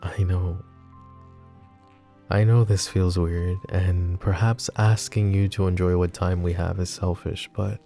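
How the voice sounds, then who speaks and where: A man playing a flirty, possessive mafia boss who is smitten and vulnerable, speaking in soft, teasing, intimate ASMR roleplay. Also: deep voice, heavily acted. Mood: tender, vulnerable. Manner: soft teasing banter with breathy chuckles.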